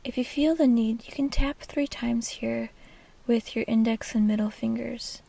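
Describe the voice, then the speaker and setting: Slow, hushed woman guiding meditation. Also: fairly high pitch, fairly even intonation, dry recording. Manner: soft, breathy, half-whispered, soothing delivery. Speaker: meditation guide. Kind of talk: guided meditation.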